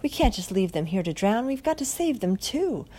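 A woman's voice: fairly high pitch, very animated, normal speed, warm tone, voice pushed up. A woman voicing an honest, caring male ship captain in a children's storybook, earnest and polite. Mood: concerned, pleading.

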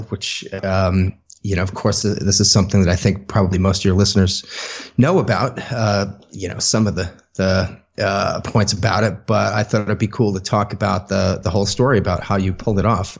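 A man's voice: deep voice, muffled recording. A man on a remote call line, casually asking questions as a podcast guest. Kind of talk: casual conversational interview.

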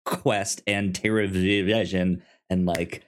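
A man co-hosting a podcast in casual review chat, halting and often stammering over repeated words. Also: deep voice, level tone. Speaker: podcast co-host.

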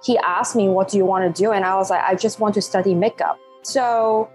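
A woman's voice: medium pitch, brisk, faint music behind. Woman with a Hong Kong accent. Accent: Hong Kong English. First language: Cantonese. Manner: animated, laughs often, non-native phrasing. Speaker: guest, life coach. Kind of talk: podcast interview.

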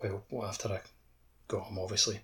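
A man's voice: lowish voice, little pitch movement, fairly quick, bright, forceful tone. A man chatting casually as a co-host on a gaming podcast. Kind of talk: casual chat.

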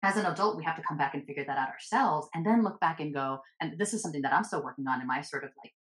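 Low-voiced fast woman in an echoey room. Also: animated. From United States, Filipino family. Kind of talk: casual podcast chat.

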